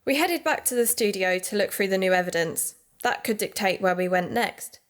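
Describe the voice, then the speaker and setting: Woman playing an earnest investigative podcaster, mid-pitched. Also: lively intonation, normal speed, natural voice. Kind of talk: audio drama dialogue and narration.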